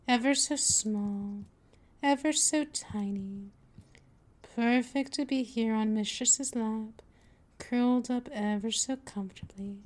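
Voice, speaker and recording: Casting: actress as a possessive female vampire mistress for ASMR audio, higher-pitched, very unhurried, hypnotic and dominant. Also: darkish tone. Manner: slow, coaxing, soothing, often drifting into whispers. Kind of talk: scripted ASMR roleplay, hypnotic monologue.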